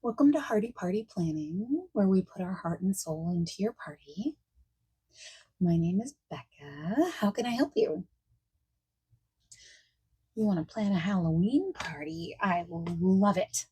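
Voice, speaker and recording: A woman playing a friendly, eager party planner in a soft-spoken ASMR roleplay, breathy and often near a whisper, chatty and reassuring. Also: medium pitch, animated, measured pace, pauses often, low-quality recording.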